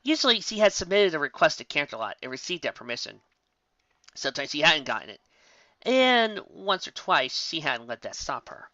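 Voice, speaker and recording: Expressive fan fiction narrator. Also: medium pitch, moderate pace, dry recording. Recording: dull, muffled home recording.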